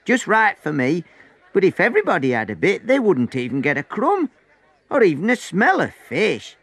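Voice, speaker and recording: Man putting on a high, lively young boy. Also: moderate pace, husky, no room sound, exaggerated voice. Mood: eager, generous. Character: young boy with food, eager and generous.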